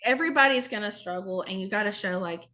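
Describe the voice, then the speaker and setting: A woman from the American South with a Southern accent, a guest telling lively stories in a casual podcast chat. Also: medium pitch, fast. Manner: lively storyteller, acts out quotes, laughs often. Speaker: guest, former English teacher in Korea.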